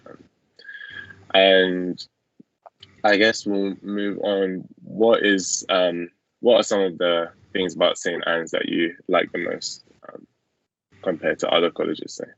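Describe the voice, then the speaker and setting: A man's voice: slow pace, vocal fry, long pauses, no room sound. A young man hosting a casual student Q&A over a video call from a small room at home. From England. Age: young adult. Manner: relaxed, low-key, fillers. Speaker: student host. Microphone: laptop webcam mic on video call. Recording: dull lo-fi video call audio.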